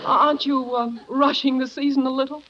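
An actress playing a gracious, playful mother being courted in a 1950s radio sitcom, genteel and gently chiding. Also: high-pitched, fairly even intonation, brisk, a faint crowd. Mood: coyly chiding. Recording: muffled, noisy vintage 1950s radio recording.

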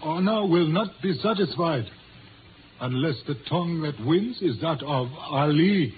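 A muffled, lightly noisy old radio recording carries a proud older Chinese patriarch. His voice sits fairly high for a man. He speaks with stately, formal gravity, every phrase measured. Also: animated. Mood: grave, ceremonious. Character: proud, solemn older Chinese father and community leader. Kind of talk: 1950s radio drama acting.

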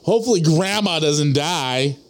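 A man's voice: a little high, animated, normal speed. A young man co-hosting a podcast in casual banter, full of sarcastic ribbing. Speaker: podcast co-host.

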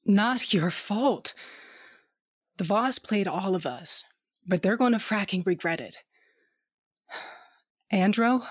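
A woman's voice: mid-range voice, lively intonation, takes it slowly, pauses often, breathy edge, dry recording. Dry-voiced woman narrating an audio drama. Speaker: narrator and lead character.

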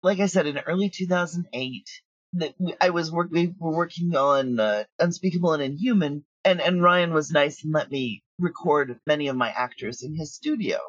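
A woman talking casually in an interview as a writer and creator, telling her stories in loose, animated, broken sentences. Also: sits low, normal speed, slightly rough, dry recording, dull audio. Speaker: audio drama creator and writer.

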